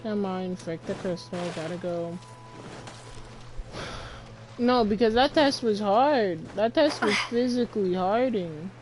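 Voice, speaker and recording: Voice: medium pitch, expressive, measured pace, long pauses. A female streamer chatting casually over gameplay in a slangy, grumbling way. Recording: clear background noise.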